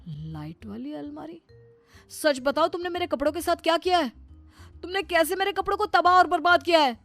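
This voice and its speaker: A woman's voice: extremely high pitch, a little fast, soft background music, full character voice. A woman voicing an exasperated young husband in a cartoon story, fussy and incredulous but indulgent. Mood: exasperated, scolding. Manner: incredulous, fussy, explaining patiently when calm. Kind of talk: Hindi cartoon storybook dialogue.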